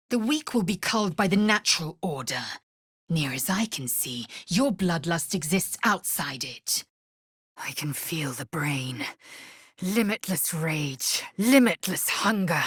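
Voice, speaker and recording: An actress voicing a fierce, proud alien warrior woman in a video game, husky and clipped, with a haughty formal delivery. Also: low voice, animated, measured pace, a bit bright, no echo, studio quality, exaggerated voice. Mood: cold, measured. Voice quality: husky, breathy rasp. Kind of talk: video game character acting.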